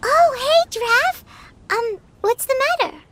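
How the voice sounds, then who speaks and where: A woman's voice: very high-pitched, lively intonation, slow, background noise, exaggerated voice. A woman voicing a small, chatty and blunt fairy companion in a video game, who talks about herself in the third person. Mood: chirpy. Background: game music.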